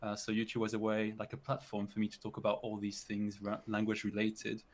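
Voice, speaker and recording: Young guy on a podcast, lowish voice, friendly, laughs a lot. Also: monotone, a little fast. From France. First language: French. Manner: friendly, laughs often, chatty. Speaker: guest, language YouTuber. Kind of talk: casual interview chat.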